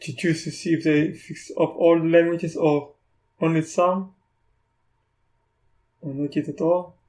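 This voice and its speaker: A South African man whose first language is Afrikaans, casually talking through a screen recording of software, often stopping and restarting. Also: on the high side, steady tone. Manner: halting, restarts often, thinks aloud. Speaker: tech YouTuber.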